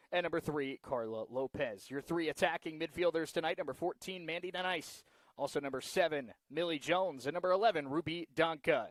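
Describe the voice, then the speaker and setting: A man announcing a live soccer broadcast in a measured, list-like way with emphatic phrase openings. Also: on the high side, no echo. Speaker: sports play-by-play announcer. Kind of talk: live soccer broadcast commentary, reading lineups.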